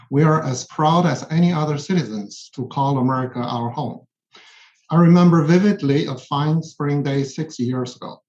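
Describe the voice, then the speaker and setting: A man's voice: fairly high pitch, darkish tone. A middle-aged or older man from China with a Chinese accent, a professor reading personal testimony in a formal, sometimes hesitant way. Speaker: physics professor, witness. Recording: muffled, echoey room sound.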